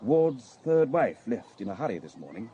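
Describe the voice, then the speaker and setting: An actor playing a cordial, worldly chief inspector in a radio drama, speaking in a courteous, knowing way. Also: male voice, mid-range voice, sing-song, normal speed, highs cut off, slight background noise, natural voice. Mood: informative. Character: cordial, worldly male chief inspector sharing gossip discreetly. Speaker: police inspector.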